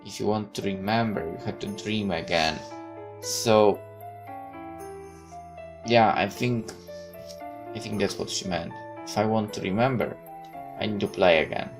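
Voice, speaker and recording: A man hosting a gaming video, reading a visual novel aloud in a halting, dramatic way with asides. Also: lowish voice, music in the background.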